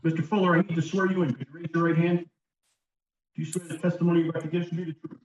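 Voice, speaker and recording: A man swears in witnesses and states rulings in a brisk, formal, procedural way. He talks fast. He sits far from the mic in an echoey room, and the audio is muffled. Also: fairly high pitch, level tone, a little mellow. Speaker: board attorney. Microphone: video call.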